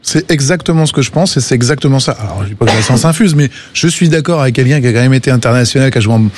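A man giving football opinions on a radio debate, animated and joking, full of hesitations. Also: medium pitch, rapid-fire, background noise. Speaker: football pundit.

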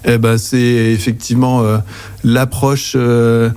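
Man, forties, French accent, mellow voice, mid pitch, halting interview answers. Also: flat delivery, clean tone. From Anjou, western France. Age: 40s. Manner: very halting, hesitant delivery. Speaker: accounting agency director, interview guest. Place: interview on site in an office.

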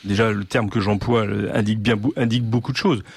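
A middle-aged man from Normandy speaking as a guest in a discussion, halting with many pauses and restarts. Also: fairly low pitch, steady tone, creaky, slightly rough, no echo. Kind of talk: hesitant conversational discussion.

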